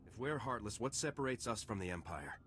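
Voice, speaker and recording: A man's voice: lowish voice, expressive, a little fast, fairly bright tone, a little hiss or hum. A man playing a resolute, principled lieutenant in a video game, speaking firmly and earnestly. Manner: firm, earnest, controlled. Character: principled male soldier, a resolute young lieutenant. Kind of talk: scripted video game acting.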